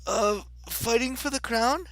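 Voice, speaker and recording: A man's voice: very high-pitched, measured pace, fairly bright tone, pitched above the performer's own voice, full character voice. A man voicing a curious, polite young girl in a storybook, speaking in an earnest, questioning way.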